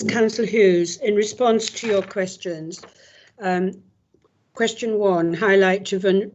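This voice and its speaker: Hoarse woman with a cold. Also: mid-range voice, a little mellow. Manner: reads prepared figures, with stumbles. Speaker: councillor. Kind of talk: formal council answer. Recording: dull lo-fi audio.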